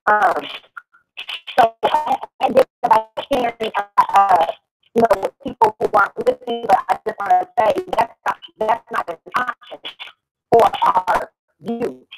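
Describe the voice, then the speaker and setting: Middle-aged woman, American, creaky and animated, earnest discussion style with a preachy streak. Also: a little high, unhurried, music in the background, low-quality recording. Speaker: discussion participant and mother.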